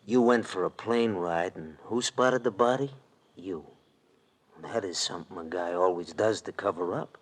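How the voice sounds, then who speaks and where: A sly, threatening thug acted by a man from an old American radio crime play. The voice sits low, and the talk is rough, slangy and ungrammatical. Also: normal speed, slight background noise, natural voice. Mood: gloating menace. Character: menacing male gangster thug, slangy and sly. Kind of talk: 1940s radio acting. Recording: vintage radio drama recording.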